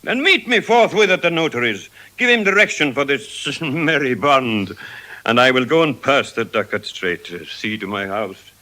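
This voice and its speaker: An actor playing a sardonic, calculating moneylender in a theatrical, deliberate Shakespearean drama style. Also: male voice, mid-range voice, lively intonation, pushed and bright. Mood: brisk, pleased. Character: Shylock, sardonic calculating male moneylender of Venice. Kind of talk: classical Shakespearean stage acting in audio drama.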